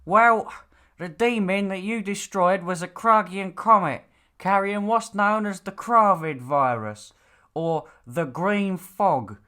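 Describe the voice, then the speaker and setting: A man's voice: sits high, sharp tone, smooth, pitched above the performer's own voice, exaggerated voice. A man voicing a stern, exasperated, lecturing father in a fantasy audiobook. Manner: lecturing, bossy. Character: stern, nagging, exasperated father of a demon slayer. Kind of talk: audiobook character dialogue.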